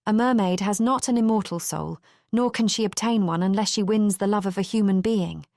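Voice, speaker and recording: A British woman voicing a gentle, solemn female spirit of the air, measured and earnest, in a fairy tale audiobook. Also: mid-range voice, dry recording, studio quality, voice pushed up, natural voice.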